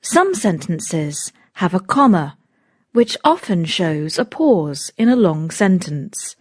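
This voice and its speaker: A woman reads out a vocabulary list for learners, pausing after every single word. Her pitch moves up and down a lot, and she keeps a very slow, deliberate pace. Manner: reads each word on its own, with lively intonation. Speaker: lesson narrator.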